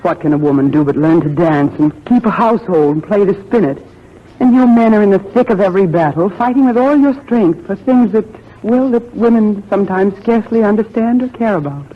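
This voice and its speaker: A woman's voice: mid-range voice, fairly quick, natural voice. An actress playing an earnest, genteel young colonial plantation lady in a 1940s radio drama. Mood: wistful, earnest. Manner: genteel, refined phrasing. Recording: tinny narrow-band 1940s radio recording.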